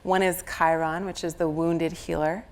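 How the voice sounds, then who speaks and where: A woman's voice: fairly low pitch, flat delivery, moderate pace. A woman with a slight head cold, speaking conversationally on stage as an astrologer explaining a chart reading. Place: on stage at a live venue.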